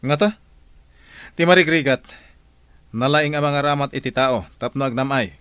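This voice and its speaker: A man's voice: medium pitch, moderate pace, fairly bright tone, clear, resonant tone, dry recording, lightly acted. An actor playing a blunt male boss who talks down to his worker. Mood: scornful.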